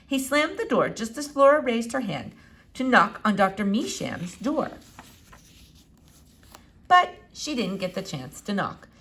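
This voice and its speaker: A woman reading a children's novel aloud in an expressive, animated way with dramatic pauses. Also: fairly high pitch. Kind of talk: expressive storybook read-aloud.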